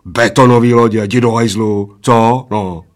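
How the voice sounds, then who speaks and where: A gruff old giant of a pub regular as a male narrator plays him. He is scornful and coarse, needling and dismissing whoever he talks to in a storybook squabble. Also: lowish voice, fairly even intonation, lightly acted. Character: huge bald old man, gruff scornful pub sceptic.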